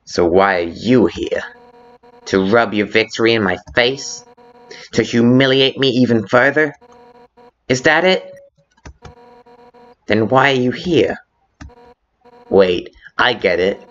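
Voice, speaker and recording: A man's voice: mid-range voice, animated, takes it slowly, long pauses, fairly bright tone. A man voicing a fierce, brash female fish-warrior monster in a video game fan dub, blustering and sarcastic. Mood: hostile. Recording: muffled lo-fi audio with background music.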